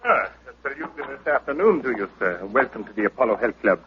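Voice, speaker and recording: An actor playing a pompous, verbose health club owner who styles himself a doctor, in old radio drama style. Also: male voice, medium pitch, animated, fairly quick, slight background noise, lightly acted. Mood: unctuous welcome. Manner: pompous, florid, theatrical. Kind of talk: 1940s-50s radio acting. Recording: old radio broadcast, very narrow muffled band.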